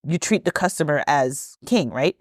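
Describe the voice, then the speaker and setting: Low-voiced chatty woman from Trinidad. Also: expressive, moderate pace, no room sound, studio quality. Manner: chatty, laughs often while telling stories. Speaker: guest. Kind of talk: podcast interview storytelling.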